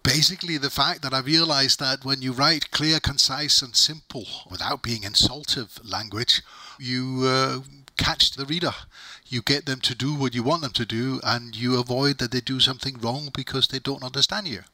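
A man's voice: medium pitch, normal speed, pushed and bright, dry recording. A middle-aged man who speaks English as a second language, answering interview questions in an emphatic, wordy way and repeating phrases for emphasis. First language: not English. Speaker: interviewee, technical documentation expert.

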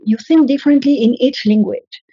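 A woman's voice: sits high, dark tone, slightly rough, no echo, dull audio. Female writing coach. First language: French. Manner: measured, instructive. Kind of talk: interview answers, advising.

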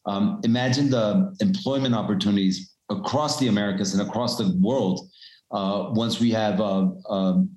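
Guest investor, male, low voice, calm and businesslike, roomy echo. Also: moderate pace. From Colombia. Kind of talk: conversational interview answers.

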